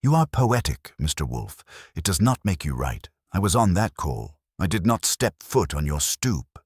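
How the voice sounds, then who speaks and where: A man's voice: sits low, big pitch swings, normal speed, slightly rough, no room sound, clean studio sound, full character voice. A man voicing a cool, defiant woman suspect in an audiobook mystery, guarded, terse and calculating. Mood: cold defiance. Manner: level, cold, terse.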